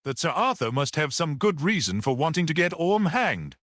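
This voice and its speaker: A man voicing a mild, perceptive priest-detective in a story, grave, measured and almost dreamy as he reasons. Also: fairly high pitch, sing-song, a bit bright, no echo, studio quality, voice pushed up. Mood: unruffled monologue.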